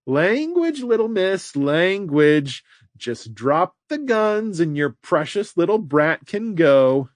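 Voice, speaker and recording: A man doing a gruff, taunting villain. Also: sits high, big pitch swings, slow pace, no room sound, studio quality, voice pushed up, heavily acted. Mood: menacing. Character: menacing gruff male villain, cruel and mocking.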